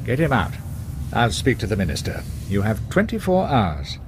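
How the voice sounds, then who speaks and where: A man's voice: lowish voice, huge pitch swings. A man playing a stern, sceptical, formal British brigadier with a British accent in a radio drama. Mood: brisk, commanding. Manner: formal, pompous, measured wording.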